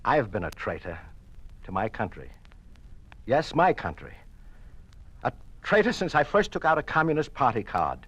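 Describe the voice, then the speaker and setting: An actor playing a conflicted American communist politician in an old-time radio drama, speaking in an earnest, declamatory, halting way. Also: male voice, mid-range voice, sing-song, fairly bright tone, breathy edge, no echo. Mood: impassioned defiance. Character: conflicted American communist politician turning defiant against his bosses.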